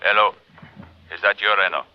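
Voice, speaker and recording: Actor as low-voiced, cool mystery criminal. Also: male voice, fairly even intonation, moderate pace, clear, resonant tone, close to an everyday voice. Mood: cool, probing. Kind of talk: mid-century British radio acting. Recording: dull, noisy old radio recording.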